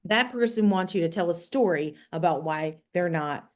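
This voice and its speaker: A woman's voice: fairly low pitch, normal speed. A woman with a New Jersey accent giving conversational advice as an interview guest, explaining things emphatically and directly. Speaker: podcast guest, communications expert.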